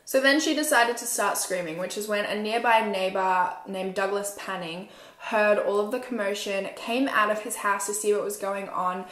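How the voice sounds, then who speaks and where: A woman's voice: medium pitch, normal speed, a bit bright. A woman, telling a true crime story conversationally in a furnished room. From Australia. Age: 20s. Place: small furnished room indoors. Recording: roomy indoor echo.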